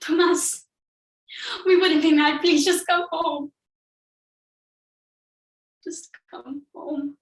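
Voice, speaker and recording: A woman's voice: high voice, steady tone, very slow, long pauses, echoey room, far from the mic, exaggerated voice. A woman playing a grieving young refugee girl. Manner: hushed, tearful storytelling. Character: ten-year-old Honduran girl, grieving refugee. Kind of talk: competitive dramatic interpretation performance.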